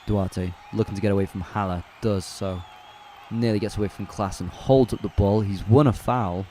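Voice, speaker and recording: A man calling a football match live on a fan watch-along stream, chatty and relaxed, correcting himself as he goes and answering viewers' chat. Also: on the low side, normal speed, a little hiss or hum. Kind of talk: casual live play-by-play commentary.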